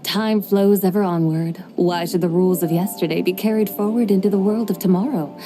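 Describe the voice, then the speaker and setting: A woman's voice: mid-range voice, fairly even intonation, a little fast, mellow, slight background noise, natural voice. A woman voicing an ancient, playful female horse spirit who likes to show off, speaking in archaic, poetic phrasing with a wry, amused tone.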